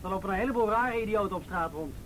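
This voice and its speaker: Brutale, snel pratende straatjongen. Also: male voice, sits high, racing, fairly bright tone. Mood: cheeky, protesting. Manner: mouthy, evasive, protesting. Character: cheeky, defiant street boy caught by police. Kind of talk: radio play acting. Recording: faintly noisy old radio play recording.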